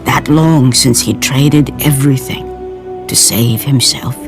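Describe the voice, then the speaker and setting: A woman playing a grave storyteller of Greek myth, narrating an epic video game in a solemn, dramatic, deliberate way. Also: extremely low pitch, exaggerated voice. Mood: grave, ominous. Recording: orchestral game music behind voice.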